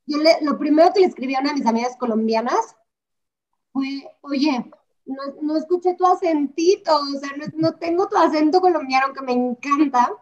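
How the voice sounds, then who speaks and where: High-voiced woman from Mexico, lo-fi. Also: normal speed. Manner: chatty, hedging with fillers. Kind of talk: casual film review chat. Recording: slightly dull, lo-fi sound.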